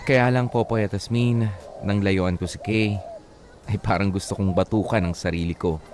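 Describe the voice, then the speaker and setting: A man's voice: fairly low pitch, steady tone, normal speed, over music, close to an everyday voice. An actor playing a wistful young man narrating his love story in a radio drama, reflective and confiding, with a Filipino accent.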